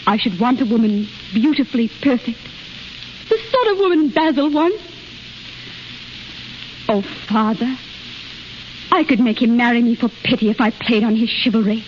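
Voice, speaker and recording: Woman as a high-voiced, proud, anguished young heroine. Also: normal speed, pauses often, natural voice. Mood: anguished, impassioned. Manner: intense, dramatic, earnest. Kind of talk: 1930s radio drama acting. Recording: muffled, noisy 1930s radio recording.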